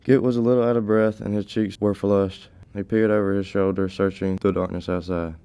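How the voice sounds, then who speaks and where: A man's voice: fairly low pitch, level tone, brisk, mellow, clean tone. A teenage male reading scripted story narration.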